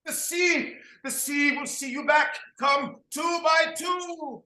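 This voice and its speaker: A man's voice: takes it slowly, pushed and bright, roomy sound, exaggerated voice. A man playing a domineering master shepherd. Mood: grandiose. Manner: commanding, theatrical, booming declarations. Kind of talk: staged play reading.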